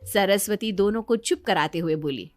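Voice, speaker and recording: A woman's voice: mid-range voice, moderate pace. A woman giving scripted narration of a moral story as the storyteller.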